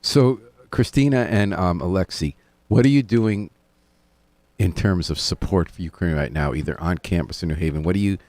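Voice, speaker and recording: A man's voice: sits low, moderate pace, a little mellow, no echo. A man hosting a community radio interview, conversational and prodding his guests with questions. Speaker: radio host. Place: radio studio. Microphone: studio microphone.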